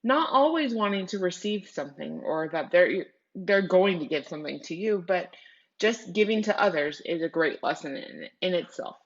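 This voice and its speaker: A woman's voice: on the low side, animated, normal speed, lo-fi sound. A woman teacher doing a read-aloud lesson for children.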